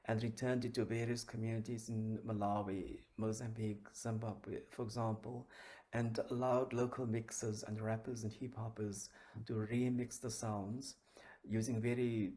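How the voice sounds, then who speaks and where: A man giving an academic conference presentation in a measured, halting way, searching for words as a researcher. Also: on the low side, mellow.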